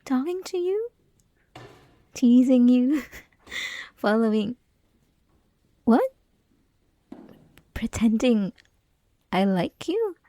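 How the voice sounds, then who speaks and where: A woman's voice: fairly high pitch, sing-song, extremely slow, long pauses, mellow, no room sound, exaggerated voice. A woman playing a flirty, possessive college classmate who teases the listener in an intimate, mostly whispered ASMR roleplay. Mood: incredulous. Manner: teasing, breathy, mostly whispered, giggly.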